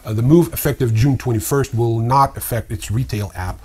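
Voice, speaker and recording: A man in his fifties with a husky voice, hosting a news commentary to camera with dry, sardonic humour. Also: medium pitch, fairly quick, a little mellow. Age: 50s. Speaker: host of a crypto newsreel. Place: small indoor study with bookshelves.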